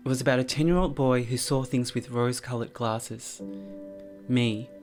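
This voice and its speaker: A young man from Cambodia, telling his story as a business founder in a soft, earnest documentary narration with frequent pauses. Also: medium pitch, steady tone, soft background music. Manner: soft-spoken, earnest, pausing with frequent ums. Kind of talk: documentary testimony and reflective narration.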